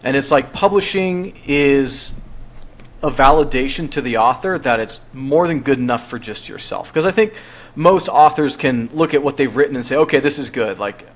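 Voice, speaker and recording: A man hosting a podcast, talking in a relaxed, conversational way with the occasional light chuckle. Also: medium pitch, moderate pace. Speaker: podcast host. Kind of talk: casual podcast chat.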